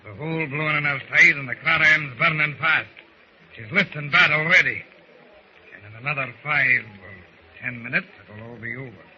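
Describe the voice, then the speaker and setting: A man playing a gruff, fatherly seaman in command, in old radio serial style. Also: mid-range voice, moderate pace, bright, forceful tone. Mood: grave, resigned. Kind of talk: 1940s radio serial acting. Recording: dull, hissy old radio recording.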